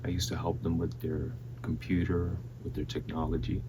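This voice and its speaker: A man in his twenties from the Dominican Republic, giving an earnest, halting personal faith testimony in a soft-spoken way. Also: sits low. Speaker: church member giving testimony. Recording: steady electrical hum behind voice.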